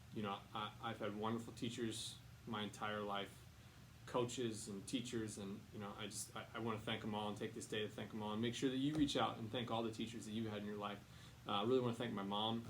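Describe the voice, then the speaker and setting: A man's voice: lowish voice, a bit bright, a little hiss or hum, low-quality recording. American man, teacher reading to kids. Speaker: teacher and read-aloud host. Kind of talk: picture book read-aloud, informal introduction.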